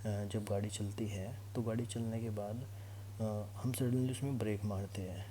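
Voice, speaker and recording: Low, mellow male teacher. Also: fairly even intonation, a little slow. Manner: instructional, repeatedly checks understanding. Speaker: physics teacher for exam students. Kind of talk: informal classroom lesson, explaining to students. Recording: clear background noise with hum.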